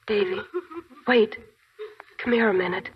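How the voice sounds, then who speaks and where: An actress playing a brave young army nurse who speaks in a hushed, breathy voice, in a 1940s radio drama. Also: female voice, mid-range voice, steady tone, unhurried, lightly acted. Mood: resolute. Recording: dull lo-fi 1940s radio broadcast.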